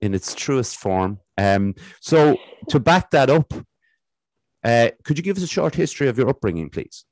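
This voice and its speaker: A man's voice: medium pitch, sing-song, normal speed, darkish tone, dry recording, poor audio. A man with an Irish accent hosting a casual podcast interview, talking in long rambling turns into a studio mic. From Ireland. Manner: rambling, many fillers and restarts. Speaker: podcast host. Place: home room. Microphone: studio mic with headphones.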